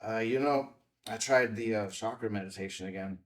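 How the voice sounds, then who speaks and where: An actor at a table read playing a grieving, bitter widower, weary and blunt. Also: male voice, lowish voice, moderate pace, a bit bright, close to an everyday voice. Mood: weary, subdued. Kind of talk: screenplay table read acting. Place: indoor filmed table read. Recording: slightly distant room microphone.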